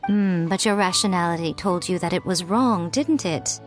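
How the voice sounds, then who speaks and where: A woman's voice: on the low side, moderate pace, soft background music, natural voice. A woman playing a sly, elegant fox spirit priestess in a video game, poised, teasing and self-assured. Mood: coaxing. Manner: poised, playful, knowing. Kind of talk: video game character dialogue.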